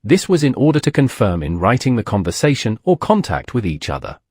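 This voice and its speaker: A man's voice: mid-range voice, wildly swooping pitch, brisk, a little mellow, breathy edge, dry recording, studio quality. A man narrating a documentary from a script.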